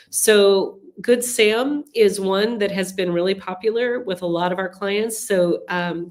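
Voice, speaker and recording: A middle-aged woman with a cold, hosting a live webinar Q&A as a relocation advisor and answering viewer questions in a chatty, explanatory way with frequent ums and restarts. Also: mid-range voice. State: has a cold, sniffling. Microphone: computer mic on a webinar call.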